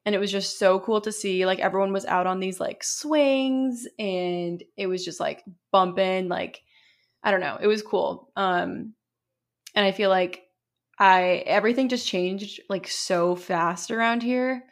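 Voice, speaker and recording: Young woman, podcast co-host, slightly hoarse, chatty, filler-heavy casual talk. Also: medium pitch, unhurried. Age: young adult. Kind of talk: casual chat.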